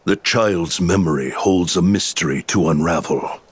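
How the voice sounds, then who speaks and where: An actor playing a cold, commanding villain in a video game cutscene, speaking in a measured, menacing, accusing way. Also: male voice, deep voice, slightly rough. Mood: cold menace.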